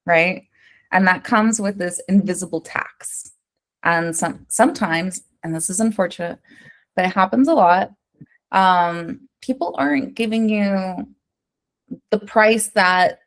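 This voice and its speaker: An American woman working as an interior designer, answering interview questions in a lively, conversational way and often checking in with "right?". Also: medium pitch. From United States. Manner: animated, keeps asking "right?", tells stories.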